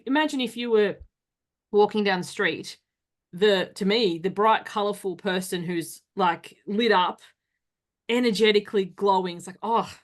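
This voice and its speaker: A chatty, animated woman hosts a casual podcast conversation. Her voice sits in the middle of the range, and she slips into quick impressions of other people's reactions. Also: medium pitch. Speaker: podcast host.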